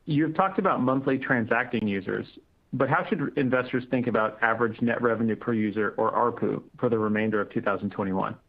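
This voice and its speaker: A man's voice: mid-range voice, fairly quick. Man reading questions over a thin phone line. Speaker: moderator reading investor questions. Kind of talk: scripted question reading on an earnings call. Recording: narrow tinny phone-line conference call.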